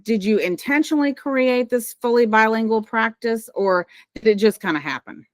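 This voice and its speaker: A woman in her fifties hosting a podcast, delivering a polished scripted intro and interview questions in an even voice. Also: a little high, normal speed, no echo. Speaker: podcast host, sonographer. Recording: dry close mic with faint music. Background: faint music.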